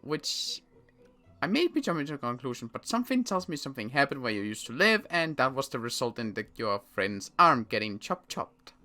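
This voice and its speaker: A man's voice: medium pitch, very animated, brisk, bright, forceful tone, faint music behind. A man playing a wary, inquisitive survivor in an improvised zombie game roleplay, talking in a questioning, wordy way. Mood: suspicious, probing.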